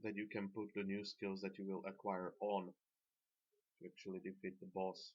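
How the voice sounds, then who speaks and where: A man who is not a native English speaker, narrating an instructional video game guide in a measured, explanatory way. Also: low voice, completely flat delivery, a little mellow, slightly rough. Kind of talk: instructional gameplay commentary. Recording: dull, lo-fi microphone sound.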